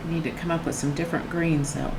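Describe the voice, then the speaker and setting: An older woman with a slightly croaky voice, talking casually and softly while she paints, thinking aloud with trailing restarts and sharing a faith reflection. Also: low-pitched, fairly even intonation, fast. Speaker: painting channel host. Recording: echoey room with air-conditioner hum.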